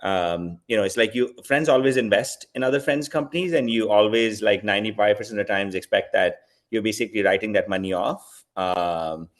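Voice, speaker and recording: A man from Pakistan in his thirties talks as a guest at a fireside chat. His voice sits on the low side, and his delivery is relaxed and reflective, full of fillers as he thinks aloud. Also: fairly quick. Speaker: guest, company founder.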